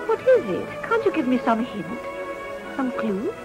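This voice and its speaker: An actress playing a poised, guarded young upper-class wife with a formal English accent in a period drama. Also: female voice, sits high, sing-song, measured pace, loud music behind the voice. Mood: wary, probing. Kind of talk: British period drama acting.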